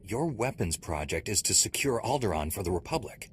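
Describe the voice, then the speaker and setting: A man voicing a calm, confident and earnest Jedi knight hero in a video game. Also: on the low side, a little fast, a little hiss or hum. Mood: brisk. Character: heroic young male Jedi knight, calm and resolute. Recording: music playing behind.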